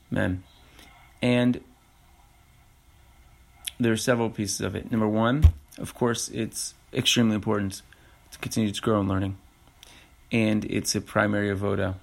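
A man speaks alone into a podcast mic in a low, gravelly voice. He thinks aloud and backs up often to restart his sentences. He talks in a reflective, unhurried way. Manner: halting, many restarts and fillers. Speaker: podcast host. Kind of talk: reflective solo podcast talk.

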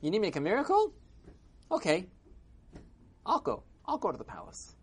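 A man's voice: sits high, wildly swooping pitch, moderate pace, breathy edge, voice pushed up, full character voice. A man voicing a cheeky, stubborn, simple old shepherd in a folk story, blunt and full of questions. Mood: cheeky confidence. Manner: blunt, protesting, rhetorical questions.

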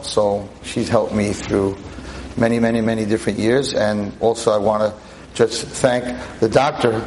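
A veteran teacher in his sixties lecturing an audience on a microphone, with a loud voice, emphatic and full of rhetorical questions. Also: medium pitch, a little hiss or hum, poor audio. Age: 60s. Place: talk in front of an audience. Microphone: handheld or stand mic.

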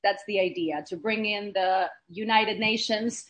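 A woman from Argentina, a chef and guest, talking in a relaxed interview with many hesitations and fillers. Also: medium pitch, a little slow. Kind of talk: casual interview.

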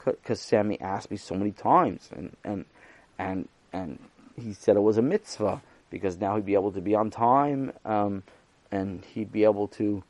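A man's voice: on the low side, no echo, voice pushed down, lightly acted. A man voicing a timid, reluctant schoolboy who speaks hesitantly and stumbles over words. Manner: hesitant, halting, quiet.

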